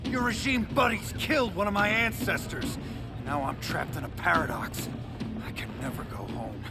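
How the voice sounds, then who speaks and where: An actor playing a vengeful, bitter speedster villain in a video game cutscene, taunting and seething. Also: male voice, a little high, moderate pace, creaky. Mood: bitter fury. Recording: loud cinematic music behind.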